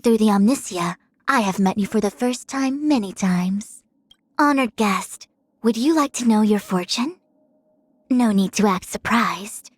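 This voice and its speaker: A woman's voice: mid-range voice, unhurried, husky, dry recording. A woman playing a proud young female diviner in a video game, speaking in composed, formal, lofty phrases. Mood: self-assured. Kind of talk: scripted game character voice lines. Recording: studio-dry voice over music. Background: music.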